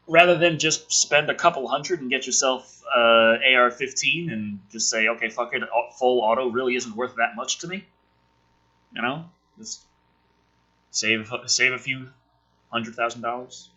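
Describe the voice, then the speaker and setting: A man's voice: medium pitch, a little slow, pushed and bright, lo-fi sound. A man doing a casual dramatic script reading as host, with sarcastic, profane ranting asides. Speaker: host reading a film script aloud with commentary.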